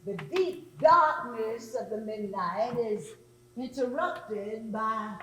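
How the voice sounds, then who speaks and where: A woman's voice: on the high side, slow, fairly bright tone, slight background noise. A woman delivering an oratorical sermon as a preacher, with emphatic rhetorical repetition. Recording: echoey hall.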